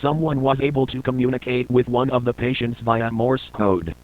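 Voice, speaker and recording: Male alert-system announcer, measured and official, narrow lo-fi broadcast sound. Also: mid-range voice, fairly even intonation. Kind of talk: scripted emergency broadcast announcement.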